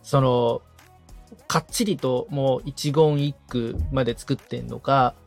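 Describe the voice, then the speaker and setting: A man's voice: medium pitch, steady tone, slow pace, gravelly, soft background music. A man as a podcast assistant and learner, attentive and polite in conversation with the host. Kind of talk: casual interview-style dialogue with short responses and questions.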